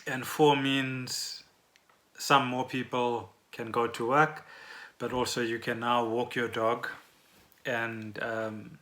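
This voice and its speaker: A man co-hosting a casual podcast, speaking in a halting, unscripted way. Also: medium pitch, flat delivery, bright, forceful tone. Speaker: podcast co-host. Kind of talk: casual conversational podcast chat.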